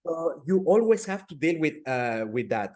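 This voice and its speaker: A man in his forties with a Spanish accent talks through his story in a halting, conversational way, often restarting a phrase before going on. His voice sits a little higher than most men's. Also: very animated, a little mellow. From Peru. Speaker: guest entrepreneur. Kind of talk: conversational interview.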